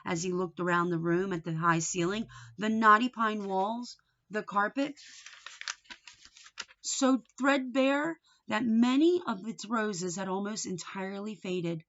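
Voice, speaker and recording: A woman reading a children's book aloud in an expressive storytelling manner. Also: mid-range voice, unhurried, lo-fi sound. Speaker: storybook reader.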